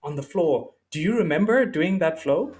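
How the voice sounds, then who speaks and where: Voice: medium pitch, animated, normal speed, soft background music. Podcast host asking easygoing questions. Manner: friendly, jokey, warm with short affirming hums. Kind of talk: casual interview chat.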